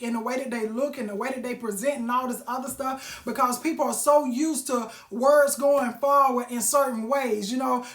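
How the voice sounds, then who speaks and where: Woman host, raspy, preachy, and folksy. She asks the audience questions. Phone mic, roomy sound. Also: high voice. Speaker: live-stream host. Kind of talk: motivational casual talk. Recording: roomy echo.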